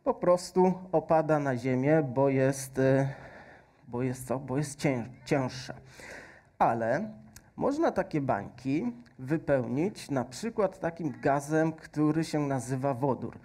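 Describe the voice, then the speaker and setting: Man, thirties, stage science presenter, unhurried, soft dark tone, filler-heavy explaining. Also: medium pitch, expressive. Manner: slow, patient explaining with frequent fillers. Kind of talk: stage science demo with a faith message. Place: large stage with audience hall. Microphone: headset.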